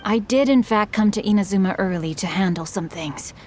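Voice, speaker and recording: A woman's voice: medium pitch, fairly quick, darkish tone, a little hiss or hum, lightly acted. A woman playing a polite, bookish teenage boy novelist in a video game, speaking in formal, eloquent phrases. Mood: flustered, embarrassed. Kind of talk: video game character voice acting.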